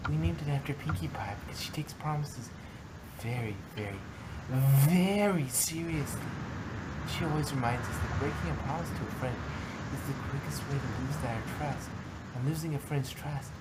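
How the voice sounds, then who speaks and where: A woman voicing a timid, gentle, apologetic female cartoon pony with a hesitant, earnest manner, in a fan fiction reading. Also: deep voice, normal speed, natural voice. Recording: very noisy background hiss and ambience.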